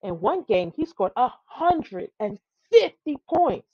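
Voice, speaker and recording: A woman's voice: a little high, big pitch swings, slow pace, warm tone, breathy edge, no echo, highs cut off. A woman reading a children's tall tale aloud, expressively and with some stumbles over the words. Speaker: storybook reader.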